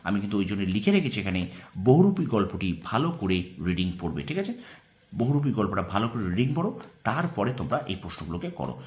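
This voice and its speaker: A man's voice: lowish voice, very animated, fast talker, a little mellow. A man teaching an informal exam-prep lesson, instructive and emphatic, repeating points and often checking that students follow. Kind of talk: informal exam lesson, reading out questions and answers.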